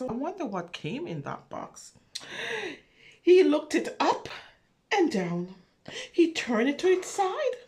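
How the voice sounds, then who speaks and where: A woman reading a picture book aloud to preschoolers, expressive and full of wonder, pausing to put questions and little asides to her young listeners. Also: a little high. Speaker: children's storyteller.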